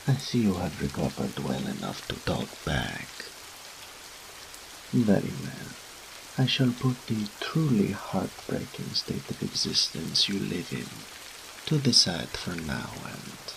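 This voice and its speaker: A man playing a deep, creaky, smug incubus demon. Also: wildly swooping pitch, takes it slowly, background noise. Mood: haughty, drawling. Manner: teasing, haughty, purring, theatrical drawl. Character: smug, seductive, theatrical male demon incubus. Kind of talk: scripted roleplay audio drama monologue.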